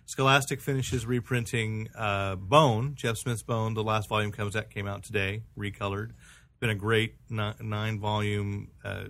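A man's voice: fairly low pitch, flat delivery, moderate pace, fairly bright tone. A middle-aged American man hosting a casual comics podcast, often restarting his sentences as he talks. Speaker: podcast host. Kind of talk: casual comics review chat.

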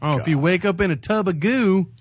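A man's voice: high-pitched, fairly quick, breathy edge, no room sound, studio quality. A man on a podcast panel, chatting casually in a joking, informal way. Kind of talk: casual chat.

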